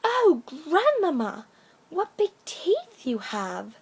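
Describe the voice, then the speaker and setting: An innocent little fairy-tale girl acted out by a woman speaks in a high, wide-eyed voice. The words are emphatic and full of childlike curiosity. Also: wildly swooping pitch, slow, heavily acted. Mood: astonished.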